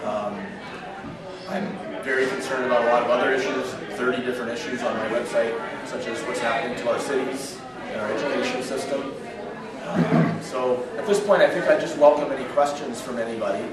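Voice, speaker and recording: A man in his fifties from Northern California, hoarse with a cold, giving an earnest campaign speech in a restaurant. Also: mid-range voice, brisk. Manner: earnest, steady, heavy with figures. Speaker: political candidate. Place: restaurant function room. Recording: echoey room from far off, crowd murmur. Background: crowd chatter.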